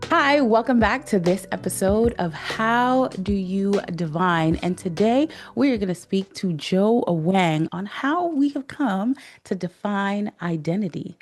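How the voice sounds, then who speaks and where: A woman's voice: mid-range voice, lively intonation, unhurried, hardly any pauses, soft background music. A woman hosting a podcast interview over a remote call, chatty and affirming, often ending her points with "right?". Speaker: podcast host. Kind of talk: casual interview chat. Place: remote call from New York.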